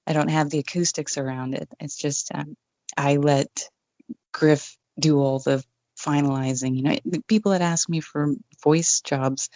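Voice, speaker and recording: Woman, low voice, relaxed self-deprecating guest, dry muffled home mic sound. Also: a little slow, no echo. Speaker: guest, online radio DJ. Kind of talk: casual interview chat. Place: home room without acoustic treatment. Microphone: condenser desk mic with pop screen. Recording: dull, dry home recording.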